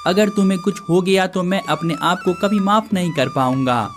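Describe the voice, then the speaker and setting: A man's voice: sits high, moderate pace, faint music behind. Man voicing cartoon village brothers. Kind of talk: cartoon dialogue acting.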